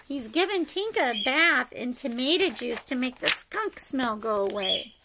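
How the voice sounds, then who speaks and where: High, lively woman reading a picture book. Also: a little slow, noisy background. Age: middle-aged. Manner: sing-song, expressive, asks the children questions. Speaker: preschool story-time teacher reading aloud. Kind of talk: animated picture-book read-aloud for young children. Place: living room at home, indoors.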